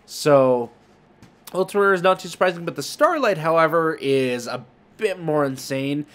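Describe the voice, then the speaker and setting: A man's voice: a little high, animated, normal speed, fairly bright tone. A man hosting a trading card market video, chatting informally to viewers with emphasis on key words. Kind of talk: casual commentary to viewers.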